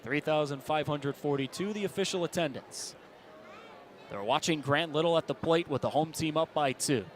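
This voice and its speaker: A man's voice: on the high side, moderate pace. An American man doing live baseball play-by-play in clipped, present-tense calls, built to swell on big plays. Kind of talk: live sports commentary. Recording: ballpark ambience behind the voice. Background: outdoor stadium background noise.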